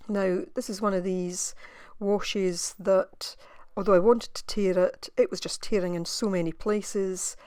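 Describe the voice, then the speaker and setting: A woman with a slightly croaky voice, musing softly as she narrates her crafting in a voice-over. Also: mid-range voice, steady tone, dry recording, studio quality. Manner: soft, musing, thinking aloud with pauses. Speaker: craft video maker.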